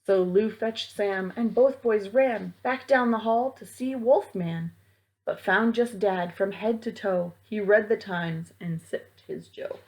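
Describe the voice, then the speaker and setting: A woman reading a rhyming picture book aloud to children in a theatrical, deliberate way, as a library storytime reader. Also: medium pitch, darkish tone, rough recording.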